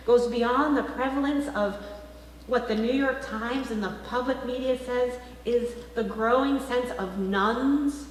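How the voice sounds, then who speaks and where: A woman minister delivering a sermon to her congregation with emphatic, deliberate phrasing. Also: fairly high pitch. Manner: rhetorical questions, emphatic phrasing with deliberate pauses. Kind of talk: liberal church sermon. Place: large reverberant church sanctuary, indoors. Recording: very echoey hall, faint background noise.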